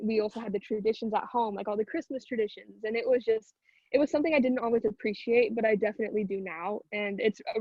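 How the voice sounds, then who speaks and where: Young American woman, higher voice, roomy sound. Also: highs cut off. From Houston, United States. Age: late teens. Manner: informal, chatty, frequent "like". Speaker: singer and narrator being interviewed. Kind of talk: casual chat.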